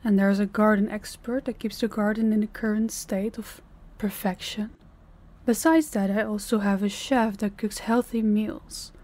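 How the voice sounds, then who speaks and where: A woman playing a dominant, cold mafia boss in a roleplay audio, speaking to the listener in a deliberate, condescending, commanding way. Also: medium pitch, steady tone, a little mellow, close to an everyday voice. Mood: smug, controlled.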